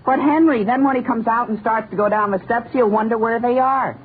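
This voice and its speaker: Naive teenage sidekick, tinny radio. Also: male voice, very high-pitched, brisk. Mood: glum, scheming. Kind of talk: 1940s radio comedy acting. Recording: tinny, noisy old radio broadcast.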